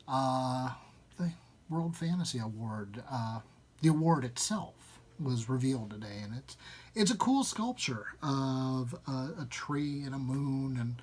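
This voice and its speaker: A man's voice: mid-range voice, slightly rough. A man speaking as a live reader, moving between informal introduction and literary story reading.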